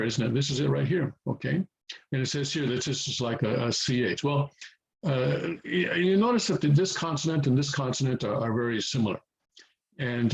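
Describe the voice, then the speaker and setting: A man's voice: mid-range voice, vocal fry, a little hiss or hum, poor audio. A man giving an informal, improvised beginner language lesson, patient and step by step, often searching for words and repeating himself for emphasis. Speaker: teacher explaining Korean writing to beginners.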